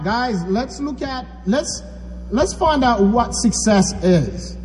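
A man's voice: high voice, a little mellow, in a large hall, a little hiss or hum. A man from Haiti preaching a sermon, talking conversationally and asking the audience questions. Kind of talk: church sermon.

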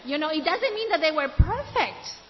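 A woman from Ecuador preaching to a room of women, asking them questions and then answering them, and slowing down to stress key words. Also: high voice, sing-song. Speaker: women's ministry speaker. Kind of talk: sermon. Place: room with an audience. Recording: dull, hissy recording in a reverberant hall.

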